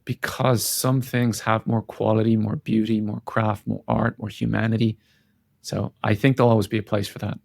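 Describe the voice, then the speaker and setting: A man's voice: lowish voice, little pitch movement, moderate pace, vocal fry, darkish tone, no room sound, studio quality. A man giving earnest, reflective and emphatic answers as a guest in a conversational interview. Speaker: interview guest, tech company founder and CEO.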